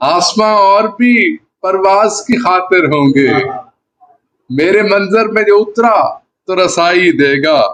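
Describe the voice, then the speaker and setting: A man, a poet, reciting ghazal verse in a deliberate manner, repeating lines for emphasis. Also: high voice, a bit bright. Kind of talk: Urdu ghazal poetry recitation. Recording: clear background noise.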